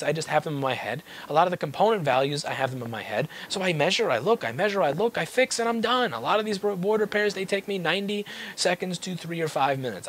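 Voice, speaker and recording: A man's voice: on the high side, a bit bright, a little hiss or hum. A man giving a blunt, exasperated, unscripted rant to viewers, stressing points by repeating phrases. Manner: exasperated, occasionally swearing. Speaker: repair business owner making a video.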